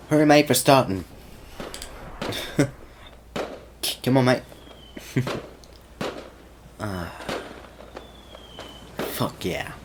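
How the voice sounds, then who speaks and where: A man's voice: low-pitched, creaky. A man performing a romantic audio roleplay, teasing and laid-back, laughing often as he talks to a friend. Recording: constant fireworks ambience noise. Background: fireworks and outdoor ambience.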